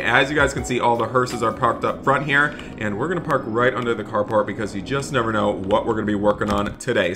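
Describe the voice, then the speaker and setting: A man hosting a roleplay gaming video, narrating excitedly and speaking straight to viewers in an animated, exclamatory way. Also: medium pitch. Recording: music behind the voice. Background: background music.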